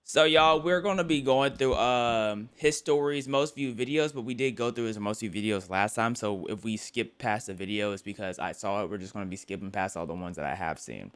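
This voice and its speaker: A man's voice: on the low side, brisk, few pauses, smooth. Tired, rambling young man streaming. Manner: rambling, joking, repeats words and restarts. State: tired, late at night. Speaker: YouTuber live-streaming to chat. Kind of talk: casual live-stream chatter.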